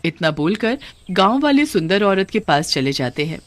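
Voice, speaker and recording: A woman's voice: mid-range voice, sing-song, moderate pace, no echo. Lady narrating a cartoon story, reading from a script, very dry recording.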